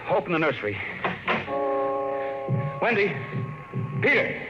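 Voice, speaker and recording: A harried middle-aged father, his voice swinging widely from weary exasperation to frantic shouting. It is classic 1950s radio drama acting on a dull, lo-fi old transcription. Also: sits high, big pitch swings, a little slow, over loud music. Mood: panicked.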